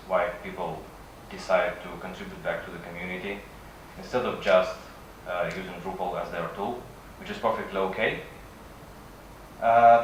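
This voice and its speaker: Russian guy doing a conference talk, kinda hesitant, really distant mic w/ big room echo. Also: deep voice. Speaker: conference speaker. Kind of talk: conference presentation, semi-improvised. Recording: echoey room from far off, faint noise.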